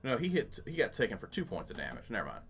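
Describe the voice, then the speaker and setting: A man with a fairly low, lively voice hosts a let's play, talking casually and jokingly, often half to himself. His pitch moves around a lot. There is clear background noise with keyboard clatter. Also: fast. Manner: jokey running commentary, muttering to himself. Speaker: gaming host.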